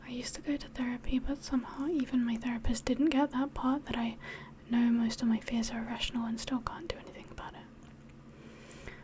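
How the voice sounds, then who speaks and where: A woman with a slightly mixed English accent whispers softly in a rambling, hesitant way, as if hosting an ASMR livestream chat. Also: fairly high pitch, fairly even intonation, fairly quick. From England. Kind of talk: soft-spoken ASMR chat, mostly whispered.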